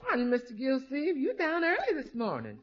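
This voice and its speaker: A woman's voice: high-pitched, huge pitch swings, a little fast, a little hiss or hum. A woman playing a loyal, folksy housekeeper in a 1950s radio comedy, deferential yet emphatic. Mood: matter-of-fact. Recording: muffled old radio recording.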